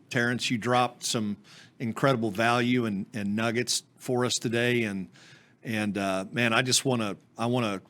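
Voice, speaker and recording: A man's voice: mid-range voice, a bit bright. A man hosting a podcast interview, effusive in his praise and rambling, full of "uh" and restarts. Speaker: podcast host. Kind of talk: casual interview.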